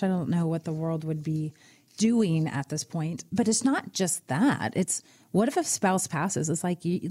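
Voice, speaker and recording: A middle-aged woman chats on a financial radio show in a mellow, fairly low voice. She is animated and drops quick exclamations as she runs through the numbers. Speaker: podcast co-host, financial advisor. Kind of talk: casual radio chat.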